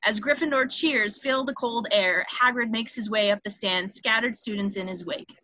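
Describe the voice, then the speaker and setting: A woman narrates screenplay action and scene headings. Her voice is on the high side and crisp-edged, with a bright, forceful delivery suited to scripted narration. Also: normal speed, dry recording, poor audio. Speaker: stage directions narrator.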